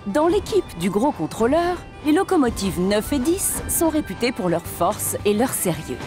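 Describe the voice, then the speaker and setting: Lively woman storyteller over music. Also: a little high, moderate pace. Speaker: narrator. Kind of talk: scripted children's storytelling narration.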